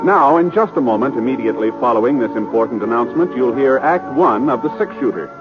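An American male announcer in formal 1950s radio style. His delivery is declamatory with lively pitch swings, on a muffled broadcast with music behind it. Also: fast.

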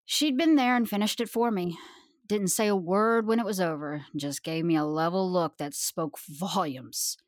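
A cocky, battle-hardened female gunslinger, played by an actress in an audio drama. Her voice sits on the low side, wry and clipped, captured close and dry. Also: normal speed, no room sound, lightly acted. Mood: reminiscing. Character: arrogant, tough, wry female gunslinger crewmate.

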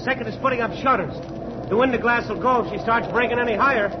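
An actor playing an anxious, dutiful ship's officer, urgent and deferential, in a 1940s radio drama. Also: male voice, extremely high pitch, fast talker. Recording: noisy muffled 1940s radio recording.

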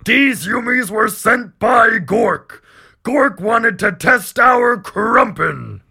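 A man voicing a brutish, excitable orc warband captain in an acted fantasy story, bellowing in crude broken grammar. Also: medium pitch, slow pace, creaky, breathy edge, no echo, studio quality, heavily acted. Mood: excited, bellowing.

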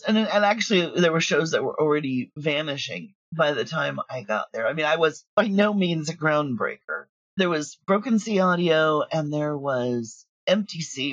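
A woman's voice: low voice, no room sound, lo-fi sound. A woman chatting casually as an interview guest, telling stories with plenty of asides. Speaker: guest, audio drama creator.

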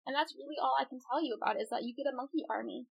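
Female podcast co-host, slightly high voice, rambling casual gamer chat. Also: racing, creaky, breathy edge, dull audio. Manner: chatty, rambling, laughs often.

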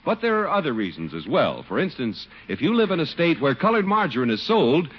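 Male 1950s radio announcer, hugely animated. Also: a little high, fairly quick, husky. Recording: muffled old radio broadcast recording.

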